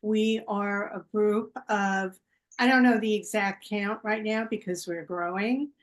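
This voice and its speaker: A woman hosting an online fundraiser, introducing her group slowly with many pauses and fillers. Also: mid-range voice, fairly even intonation. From United States. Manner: slow and halting, with many fillers. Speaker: team captain and event host. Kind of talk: casual introduction.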